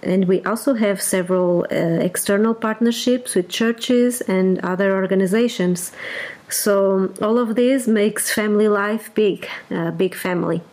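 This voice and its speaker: A woman's voice: medium pitch, unhurried, a little mellow. A Portuguese woman in her forties, speaking English as a second language with a Portuguese accent, answering interview questions hesitantly, with many fillers and restarts. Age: 40s. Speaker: ministry director, guest. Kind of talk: casual interview chat.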